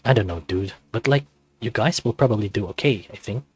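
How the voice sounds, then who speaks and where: A man's voice: fairly low pitch, brisk, breathy edge, no echo. A man voicing a laid-back, teasing teenage best friend in a visual novel, casual and playful.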